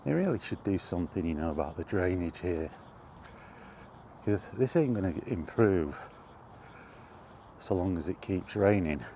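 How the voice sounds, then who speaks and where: A middle-aged man giving a casual, rambling chat as he walks outdoors, in an unhurried, offhand manner. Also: low-pitched, expressive, mellow. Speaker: video game vlogger. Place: outdoors, walking toward a park. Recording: outdoor walking recording with background noise.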